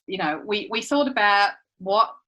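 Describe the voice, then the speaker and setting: A middle-aged woman from Switzerland with a Swiss accent, co-hosting a podcast in relaxed, chatty talk that often breaks into laughter. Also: medium pitch, moderate pace. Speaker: podcast co-host. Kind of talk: casual podcast chat.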